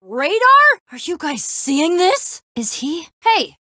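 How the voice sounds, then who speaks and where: A woman's voice: very high-pitched, very animated, takes it slowly, sharp tone, no echo, clean studio sound, heavily acted. An actress voicing a scrappy, wry young female adventurer in a video game, talking in a casual, sarcastic, plain-spoken way. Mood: urgent.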